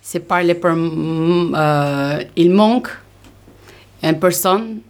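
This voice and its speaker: A woman from Albania, speaking hesitant, halting French as a learner. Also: fairly low pitch, a little mellow. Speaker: language learner.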